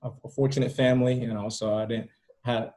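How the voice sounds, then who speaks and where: A man's voice: medium pitch, flat delivery, brisk, mellow. A man from Rwanda talking casually as an interview guest on a video call, often repeating words and leaning on "you know". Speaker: podcast guest. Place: indoor room at home, video call.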